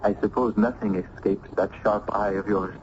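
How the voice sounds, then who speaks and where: An actor playing an earnest, lovestruck young gentleman in an old-time radio drama, courtly and formal in manner. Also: fairly low pitch, level tone, normal speed, natural voice. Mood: eager, lovesick. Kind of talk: old-time radio drama acting and first-person narration. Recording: muffled, noisy vintage radio recording.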